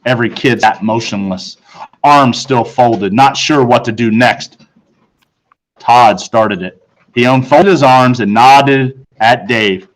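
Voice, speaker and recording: Man reading a children's story, medium pitch. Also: takes it slowly, rough recording. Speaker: teacher reading aloud. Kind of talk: children's book narration.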